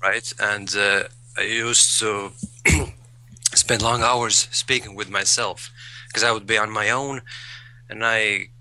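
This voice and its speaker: A man's voice: on the low side, fairly even intonation, slow, pushed and bright, no echo, poor audio. A man, a guest in an interview, speaking thoughtfully and repeating words as he searches for phrasing. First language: Latvian. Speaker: guest, English learner. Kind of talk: conversational interview.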